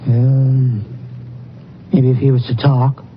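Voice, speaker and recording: A dull, faintly noisy old radio recording carries an actor as an anxious male witness. His medium-pitched voice is halting and earnest, that of an ordinary man caught up in a crime drama. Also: level tone, natural voice. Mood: unsure. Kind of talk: 1950s western radio drama acting.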